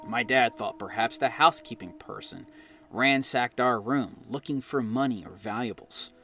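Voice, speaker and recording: A middle-aged American man from New Jersey narrating his own eerie experiences with dramatic pauses. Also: mid-range voice, fairly bright tone. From New Jersey, United States. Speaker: guest storyteller reading own experience. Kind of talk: personal ghost story narration. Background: background music.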